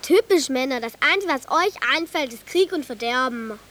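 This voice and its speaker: High, sassy young girl. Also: animated, moderate pace, fairly bright tone, slight background noise. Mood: scornful. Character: sassy young girl joining boys' make-believe. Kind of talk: German radio play acting.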